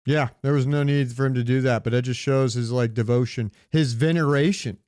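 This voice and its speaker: A man's voice: mid-range voice, fairly even intonation, fairly quick, darkish tone, dry recording, clean studio sound. A man co-hosting a podcast, chatting casually and informally, with swearing thrown in. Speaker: podcast co-host. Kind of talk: casual banter about films and pop culture.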